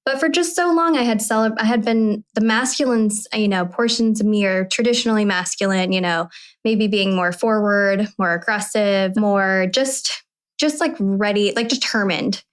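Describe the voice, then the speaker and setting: A woman's voice: medium pitch, normal speed. A woman in her early thirties hosting a podcast, talking candidly and at length, with restarts and the odd swear. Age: 30s. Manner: candid, animated, rambling, with swearing. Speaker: podcast host. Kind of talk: casual podcast conversation.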